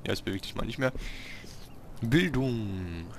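A man giving casual gameplay commentary, talking to himself in short, halting remarks. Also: lowish voice, expressive, slight background noise. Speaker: let's player hosting a city-building game series.

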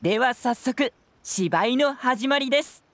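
A woman's voice: high voice, animated, unhurried. A woman voicing a clever, playful young male detective in a video game, presenting and narrating in a polite theatrical way. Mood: showy, welcoming.

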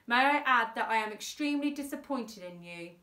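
A woman's voice: a little high, animated, a little fast, bright, forceful tone, recorded from a distance. Stern, pompous storybook judge played by a woman, indignant and scolding, echoey room. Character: stern, indignant judge passing sentence on a criminal. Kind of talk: children's storybook character reading. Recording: roomy, echoey indoor sound.

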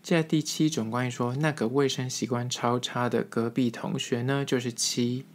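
A man with a Taiwanese Mandarin accent hosting a casual, humorous solo podcast, telling things in a chatty way with drawn-out emphasis. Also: medium pitch, steady tone. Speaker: podcast host.

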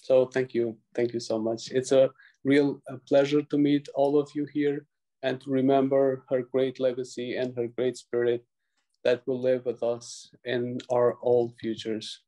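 A man, speaking over a video call from a small room, earnest and halting with frequent pauses. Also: medium pitch, level tone, mellow, poor audio. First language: Albanian. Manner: earnest, many pauses and restarts. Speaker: tribute speaker. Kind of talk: halting prepared remarks.

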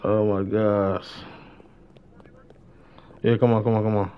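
A man's voice: lowish voice, slow pace, clear, resonant tone, highs cut off. Young man streaming, slangy and repetitive. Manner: slangy, repeats short phrases excitedly. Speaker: game streamer. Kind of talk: casual live gaming commentary.

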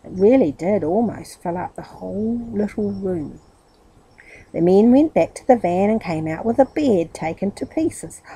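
A middle-aged woman with a husky voice reads a children's story aloud in expressive narration. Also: mid-range voice, measured pace, rough recording. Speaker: storybook reader.

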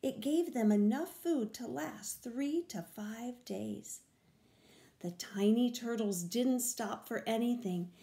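An older woman reading a children's picture book aloud in a deliberate, expressive way, stressing key words. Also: on the high side, vocal fry, echoey room. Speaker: read-aloud storyteller. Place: small room at home, indoors.

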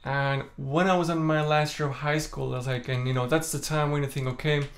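A young Estonian-born man speaks calmly and softly to a camera set up in front of him in a small room. His talk is candid and unhurried, sprinkled with "like" and "you know" as he tells his story. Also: fairly high pitch. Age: young adult. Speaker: vlogger. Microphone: camera in front at chest framing.

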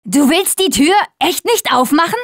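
A young woman survivor in a zombie apocalypse game, tough and wry. Her voice is high and recorded very dry. She delivers short callouts to her team. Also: expressive, moderate pace, no room sound. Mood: determined, wry.

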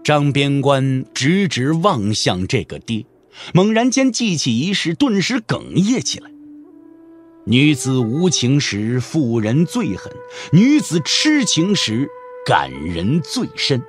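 Slow, highly animated male storyteller. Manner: measured, expressive storytelling. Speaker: narrator of an audio drama. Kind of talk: dramatic scripted narration of a wuxia novel. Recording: music under the voice. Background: background music.

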